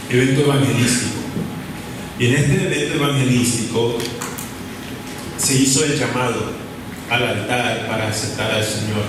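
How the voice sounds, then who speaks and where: A man preaching a sermon in a church, speaking deliberately, repeating key phrases and pausing with short fillers. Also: medium pitch, background noise. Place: church sanctuary. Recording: echoey church hall from far off, with room ambience.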